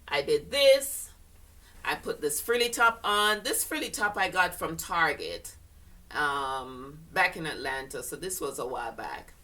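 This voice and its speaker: Low-voiced older Jamaican woman, unhurried. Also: bright, forceful tone, distant mic. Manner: chatty, rambling, with many fillers and laughs. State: congested sinuses. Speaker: fashion vlogger. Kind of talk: casual chat to camera.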